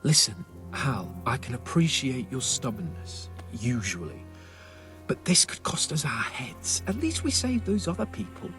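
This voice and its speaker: Sardonic medieval nobleman, very animated. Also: mid-range voice, unhurried, soft background music. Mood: exasperated. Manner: sardonic, exasperated, swearing. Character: grumbling, sarcastic nobleman companion on a medieval raid. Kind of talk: dramatic video game cutscene acting.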